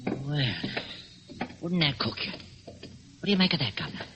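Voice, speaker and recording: An actor playing a grumbling, nervous working-class London sidekick with a London accent in a radio drama, talking in colloquial slang. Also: male voice, on the high side, big pitch swings, measured pace. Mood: puzzled. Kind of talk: old radio drama acting. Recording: hissy, dull lo-fi radio recording.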